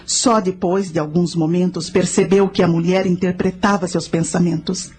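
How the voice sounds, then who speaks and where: An actress playing a knowing spirit guide, telling ancient history and teaching in a measured, solemn way in a radio drama. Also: female voice, on the low side, steady tone, a little mellow, a little hiss or hum, natural voice. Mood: instructive. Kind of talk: scripted radio drama narration.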